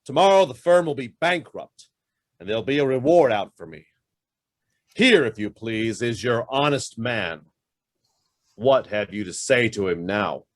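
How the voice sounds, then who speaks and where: An actor playing a guilt-ridden, fervent embezzler on the run in a radio drama, with an emphatic, theatrical delivery. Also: male voice, medium pitch, lively intonation, unhurried, no room sound, clean studio sound, lightly acted. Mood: desperate, defiant. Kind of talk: stage-style radio drama acting.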